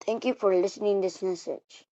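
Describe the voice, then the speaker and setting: A woman reading out an improvised mock emergency alert in a halting way, repeating and restarting her words. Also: mid-range voice, dark tone. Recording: muffled, roomy home recording.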